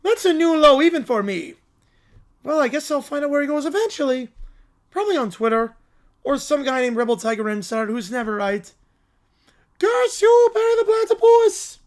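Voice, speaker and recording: Cartoon villain, played by a man: very high-pitched, exasperated, theatrical schemer prone to shouting. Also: very animated, moderate pace. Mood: defiant. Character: flustered cartoon villain, melodramatic male schemer. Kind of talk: cartoon parody voice.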